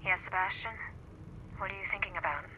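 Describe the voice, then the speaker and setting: A woman's voice: medium pitch, brisk. Over a radio, a woman plays a composed, insistent agent handler in a video game. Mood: calm. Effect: radio filter. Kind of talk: video game cutscene acting. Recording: muffled, noisy radio transmission.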